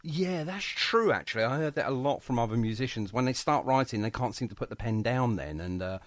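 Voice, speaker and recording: Male radio interviewer, highish and very animated, effusive and chatty, dry studio sound. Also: brisk, no room sound. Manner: effusive, complimentary. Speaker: radio show host.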